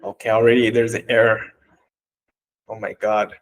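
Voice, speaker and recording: A man from Bangladesh whose first language is Bengali, explaining code step by step in a relaxed way, with fillers and restarts. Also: fairly low pitch, normal speed, poor audio. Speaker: coding instructor. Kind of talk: walking through code live.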